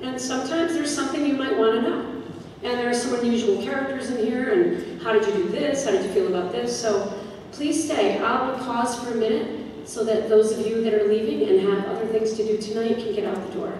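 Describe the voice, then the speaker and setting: A woman's voice: fairly high pitch, a little fast, darkish tone, a little hiss or hum. A woman drama director giving informal, conversational announcements to an audience before a show. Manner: chatty, conversational. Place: school theatre stage, distant microphone. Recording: echoey auditorium from far off.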